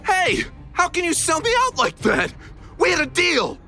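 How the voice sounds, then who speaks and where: An actor playing an aggrieved, defiant underworld thief in a video game, whining and blustering. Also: male voice, extremely high pitch, wildly swooping pitch, moderate pace, pushed and bright, faint music behind, full character voice. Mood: outraged. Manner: whiny, blustering, stammering when cornered.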